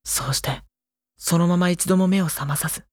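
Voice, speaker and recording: A man's voice: sits high, moderate pace, dry recording, studio quality, lightly acted. An actor playing a sensitive teenage boy who narrates an audio drama in a solemn, earnest, emotional and literary style. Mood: tender, mournful. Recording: loud string music behind narration. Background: cello and bowed string music.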